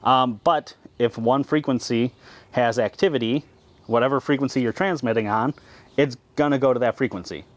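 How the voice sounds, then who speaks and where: A man's voice: medium pitch, normal speed. An American man talking casually as the host of an amateur radio video. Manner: says call signs in phonetic alphabet. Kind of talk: casual vlog talk and radio call-sign exchanges.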